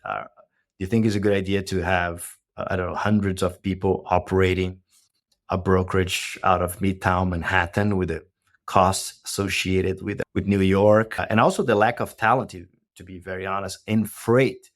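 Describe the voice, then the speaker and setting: Brazilian-accented guest in his 40s, very low voice, measured, explanatory delivery. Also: little pitch movement. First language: Portuguese. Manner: measured, explanatory, often checks with "right?". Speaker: guest, company co-founder. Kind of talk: podcast interview.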